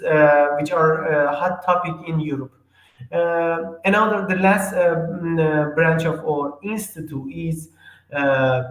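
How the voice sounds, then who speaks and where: Man, hesitant workshop presenter, a touch high-pitched, roomy echo. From Iran. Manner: hesitant, heavy with filler sounds.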